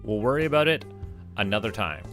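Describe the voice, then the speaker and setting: Casting: male game streamer, lowish voice, playful self-talk, casual commentary over clear background music. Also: expressive, fairly quick, fairly bright tone. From Canada. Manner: thinks aloud, chatty self-talk, playful.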